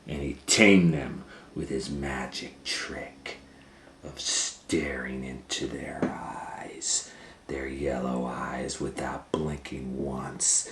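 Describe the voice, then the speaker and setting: A man reading a picture book aloud to children in a theatrical way, swinging between hushed tones and loud exclamations. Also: a bass voice, level tone, extremely slow. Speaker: storytime reader and educator. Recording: roomy echo, faint background noise.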